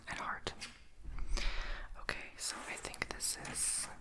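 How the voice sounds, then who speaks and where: A young woman whispering as she chats while doing a puzzle, rambling, playful and blunt. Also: takes it slowly. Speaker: vlogger.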